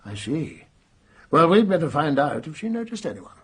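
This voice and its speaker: An actor playing a brisk, businesslike police colonel in a radio mystery, with a gravelly voice and an official, questioning manner. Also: male voice, on the high side, big pitch swings, a bit bright, no room sound, heavily acted. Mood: brisk, inquiring. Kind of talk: radio mystery drama acting.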